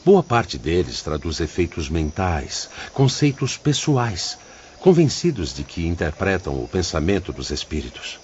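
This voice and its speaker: Man acting a grave, animated, fatherly spirit mentor. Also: deep voice, a little slow, slight background noise. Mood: grave, admonishing. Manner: solemn, preacherly, formal. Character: grave, fatherly male spirit mentor instructing his pupils. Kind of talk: audiobook drama acting. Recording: dull lo-fi audio under music.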